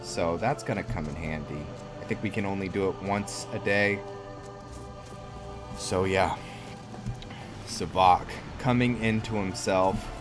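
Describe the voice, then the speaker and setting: Low-voiced, unhurried man doing game commentary. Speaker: game streamer, host of a roleplay series. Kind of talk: casual gameplay commentary, thinking aloud. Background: game music.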